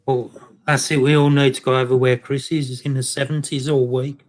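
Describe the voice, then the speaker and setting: A man's voice: mid-range voice, little pitch movement, fairly quick. A man hosting a live group video chat, talking in a friendly, chatty way.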